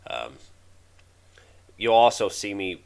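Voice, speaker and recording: A man in his thirties, a bit hoarse from a head cold, narrating his own project video in a halting, casual way with many fillers. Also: fairly low pitch, big pitch swings, a little mellow. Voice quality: raspy. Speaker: DIY channel host.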